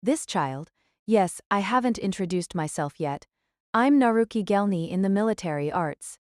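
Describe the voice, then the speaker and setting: A woman's voice: mid-range voice, lively intonation, moderate pace, darkish tone, no echo, natural voice. A tomboyish teenage girl acted by a woman with a mid-range voice. She is frank, confident and matter-of-fact, in anime audio drama style with a dry, close sound.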